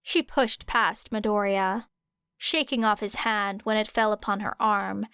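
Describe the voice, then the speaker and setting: A woman's voice: mid-range voice, steady tone, a little slow, sharp tone, clean tone, dry recording. A woman reading scripted fiction as an audiobook narrator.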